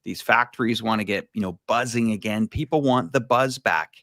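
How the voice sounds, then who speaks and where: A man's voice: on the low side, moderate pace, a bit bright, dry recording, studio quality. A man co-hosting a livestream, speaking in a casual, rambling way with many fillers and the odd laugh. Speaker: co-host.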